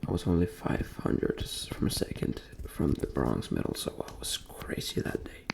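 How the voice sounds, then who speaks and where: A young man from Denmark speaking soft, breathy ASMR in a gentle near-whisper, repeating phrases as he talks in a personal, motivational way. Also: extremely low pitch, big pitch swings, moderate pace. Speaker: ASMR creator and host.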